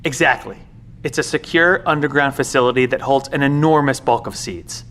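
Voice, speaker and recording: A man acting in an audio drama as a weary, secretive professor with a measured, explanatory way of talking. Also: medium pitch, fairly bright tone, natural voice. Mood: grave, explanatory. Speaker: professor, secret bunker guardian.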